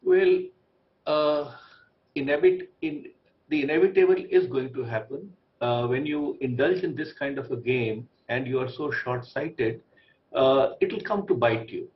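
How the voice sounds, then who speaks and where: A man giving measured expert commentary as a debate guest, with halting, deliberate phrasing. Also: medium pitch, highs cut off. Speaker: TV debate guest, former ambassador.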